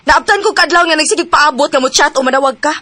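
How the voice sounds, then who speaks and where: A woman's voice: sits high, fast talker, pushed and bright, dry recording. A hurt young girlfriend. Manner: emotional, pleading. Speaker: radio drama actress.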